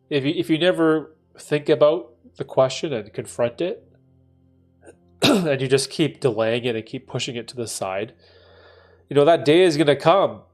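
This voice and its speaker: Reflective man in his thirties, chatting. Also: medium pitch. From Canada. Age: 30s. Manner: thoughtful, rambling, many "right?" tags. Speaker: livestream host answering chat. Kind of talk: casual reflective livestream chat.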